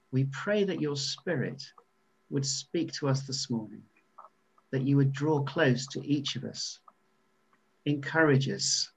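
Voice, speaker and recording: A man leading a reverent spoken prayer in a measured way, then handing over to the worship leader. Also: medium pitch, husky. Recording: dull lo-fi recording.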